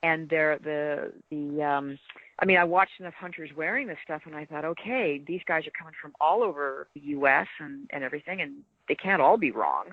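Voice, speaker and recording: A woman's voice: low voice, moderate pace, no echo, narrow, tinny audio. A woman speaking earnestly and emphatically from experience, giving practical advice in a casual panel chat. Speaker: podcast guest, experienced hunter.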